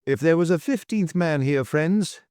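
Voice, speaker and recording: A man's voice: fairly high pitch, expressive, moderate pace, a little mellow, clear, resonant tone, no echo, clean studio sound, pitched above the performer's own voice, natural voice. A man voicing a stiff military colonel in a storybook, harsh and raucous, issuing rigid commands. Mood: commanding. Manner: rigid, barking orders.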